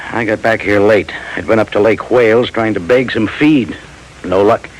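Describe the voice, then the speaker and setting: Man acting a weary rancher, low voice, noisy old radio. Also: normal speed, natural voice. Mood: weary, bitter. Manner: hesitant, plain-spoken, weary. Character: worn-down, defensive, apologetic cattle rancher facing ruin. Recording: noisy vintage radio drama recording.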